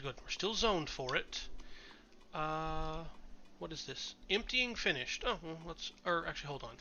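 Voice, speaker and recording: A man's voice: fairly high pitch, bright, forceful tone. A man with a cold, slightly nasal and congested, chatting casually and correcting himself as he streams a city-building game. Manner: rambling, chatty, self-correcting. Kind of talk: casual gameplay commentary.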